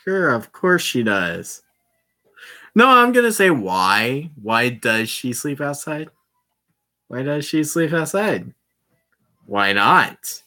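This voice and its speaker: A man's voice: medium pitch, sing-song, takes it slowly, long pauses, sharp tone. A man casually reading a visual novel aloud, throwing in joking, swearing asides. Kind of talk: casual let's-play narration.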